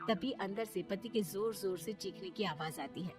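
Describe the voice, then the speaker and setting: A woman's voice: mid-range voice, moderate pace, soft background music. Female narrator for a cartoon story, scripted storytelling read.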